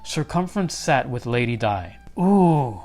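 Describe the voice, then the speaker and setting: A man reading a children's storybook aloud as an expressive narrator. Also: medium pitch, a little slow, faint music behind.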